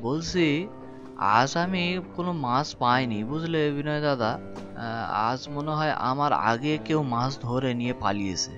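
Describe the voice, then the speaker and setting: Man acting a folksy, deep-voiced cartoon boatman. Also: moderate pace, natural voice. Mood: puzzled, downcast. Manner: colloquial, folksy, hesitant, repeating words. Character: humble boatman turned fisherman, wheedling, henpecked husband. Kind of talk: animated folk tale voice acting. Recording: dull lo-fi sound with music behind.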